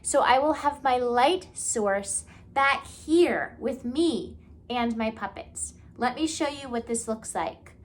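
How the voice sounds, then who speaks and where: A woman's voice: fairly high pitch, lively intonation, takes it slowly. A woman giving a step-by-step how-to demonstration for children, talking simply like a teacher and often checking in with little questions. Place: indoors at home.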